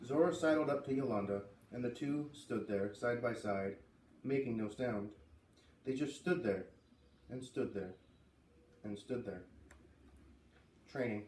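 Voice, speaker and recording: A man reading a children's book aloud expressively, with dramatic pauses and emphasis. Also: mid-range voice. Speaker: storybook reader. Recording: roomy, slightly distant, faint background noise.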